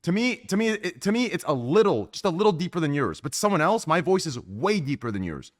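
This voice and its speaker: A man hosting a casual podcast chat, with a deep voice and an emphatic, rambling way of explaining himself. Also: fairly quick. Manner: animated, emphatic, repeats "do you understand". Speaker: podcast host.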